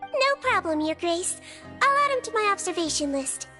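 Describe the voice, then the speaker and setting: A woman's voice: extremely high pitch, big pitch swings, normal speed, sharp tone, long reverb tail, soft background music, heavily acted. A woman voicing a small, kindly, unflappable nonhuman head nurse in a video game, cutesy and reassuring. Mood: brisk, polite. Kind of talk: English video game voice acting.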